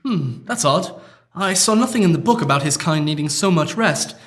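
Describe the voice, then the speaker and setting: A solemn, formal tutor with an accent, played by a man, polite and earnest. His voice sits high for a man. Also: expressive, moderate pace, a bit bright.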